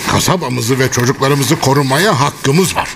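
An actor voicing a stubborn, gruff, middle-aged hunter in a cartoon dub, self-important and insistent. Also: male voice, mid-range voice, normal speed, background noise, close to an everyday voice. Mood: indignant. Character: stubborn, gruff male hunter, hostile to wolves. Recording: loud music behind.

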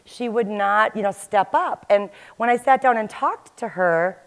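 A woman in her forties, an agile coach, giving an animated conference talk in a large hall on a headset mic. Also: medium pitch, unhurried. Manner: animated, gestures while talking to the audience. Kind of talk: conference presentation.

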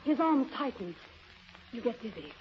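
An actress playing a sly, flirtatious, worldly former secretary with a condescending, theatrical manner in a 1930s radio drama. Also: female voice, fairly high pitch, big pitch swings, normal speed. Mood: sultry, teasing. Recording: muffled, noisy old 1930s radio recording.

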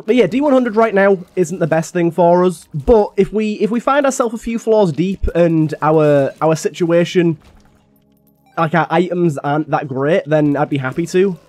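A young man with a slightly raspy voice from being a little ill, chatting casually and thinking aloud as he plays a game. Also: high-pitched, normal speed, a little mellow, no room sound, soft background music. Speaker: gaming YouTuber. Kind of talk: casual gameplay commentary.

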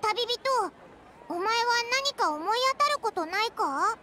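A woman voicing a tiny, bossy and excitable fairy companion girl who talks in a brash, boyish way, in a video game. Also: extremely high pitch, a little slow, noisy background, exaggerated voice. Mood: eager. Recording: game audio with loud music.

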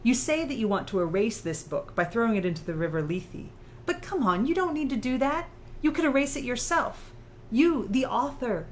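A woman playing a sharp, articulate female author who challenges and analyzes in audiobook dialogue. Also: a little high, lively intonation, moderate pace, slight background noise, lightly acted. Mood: pressing, insistent. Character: sharp, probing female author challenging her own creator.

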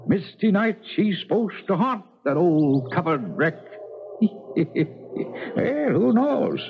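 An actor playing a folksy, gossipy old New Hampshire local in a rambling rustic manner for a 1970s radio drama. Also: male voice, high voice, sing-song, slow pace, vocal fry, heavily acted. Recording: lo-fi 1970s radio, faint noise.

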